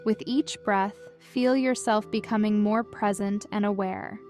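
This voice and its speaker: A woman narrating a scripted guided meditation in a gentle, calm and soothing manner with measured instructions. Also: mid-range voice. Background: music.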